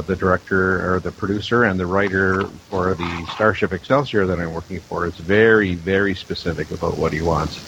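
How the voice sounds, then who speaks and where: A man talking casually on a panel as an audio producer, with measured, hesitant phrasing. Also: sits low. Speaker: audio producer and sound designer. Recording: muffled, slightly noisy line.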